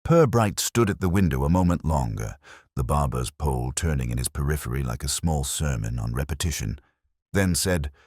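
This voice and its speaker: A man narrates a literary mystery in an extremely deep voice. His intonation is lively while the storytelling stays deliberate, and the sound is dry and close. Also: no room sound.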